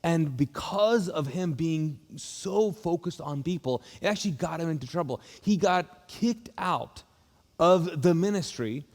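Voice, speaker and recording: A man's voice: on the high side, expressive, unhurried, darkish tone. A man, a pastor, giving a casual, conversational welcome talk with many fillers and restarts. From Kuwait. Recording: long hall reverb.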